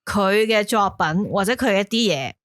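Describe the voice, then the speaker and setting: Animated, emotional Hong Kong woman. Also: medium pitch, moderate pace, dry recording, studio quality. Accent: Hong Kong Cantonese. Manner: emotional and animated, swears freely when worked up. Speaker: podcast co-host. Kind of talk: casual chat.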